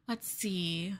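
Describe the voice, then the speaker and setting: A woman playing a clingy, sweetly possessive young girlfriend in an ASMR roleplay, with a coy, hesitant, coaxing delivery. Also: medium pitch. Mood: adoring, coy. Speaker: streamer performing ASMR roleplay.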